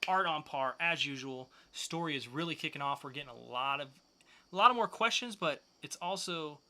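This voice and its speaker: A man's voice: on the high side, lively intonation, normal speed, bright, forceful tone. A man hosting a podcast, casually reviewing comic books with enthusiastic, loose, slangy asides. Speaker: podcast host.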